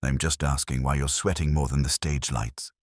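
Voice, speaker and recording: Man as sardonic, deep-voiced private eye. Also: fairly even intonation, normal speed, dry recording, clean studio sound. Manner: sardonic, pressing. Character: wry, probing male private investigator.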